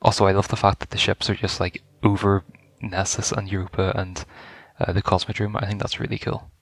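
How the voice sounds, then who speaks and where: A man's voice: sits low, flat delivery, fairly quick, no room sound. A man giving casual live reaction commentary as a gaming video creator.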